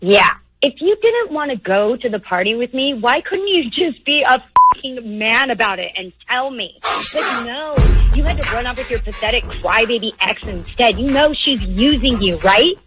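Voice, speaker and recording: A woman's voice: fairly high pitch, animated, normal speed, fairly bright tone, rough recording. A woman calling in to a radio show and talking candidly about her own life. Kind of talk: candid conversation on a radio call-in.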